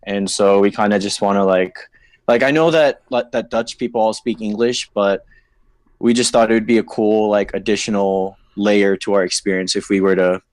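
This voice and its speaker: A man's voice: on the low side, fairly even intonation, moderate pace, a little mellow, no room sound. A young man from Saipan calling in, easygoing and chatty, with lots of "like" fillers. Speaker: caller. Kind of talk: casual call-in chat.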